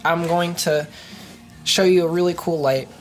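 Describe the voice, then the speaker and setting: A woman's voice: fairly low pitch, fairly even intonation, measured pace, soft background music. Congested young woman rambling about a collection. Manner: enthusiastic, rambling with frequent ums and restarts. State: congested, stuffy from a cold. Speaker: streetlight collector and vlogger. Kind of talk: casual show-and-tell vlog.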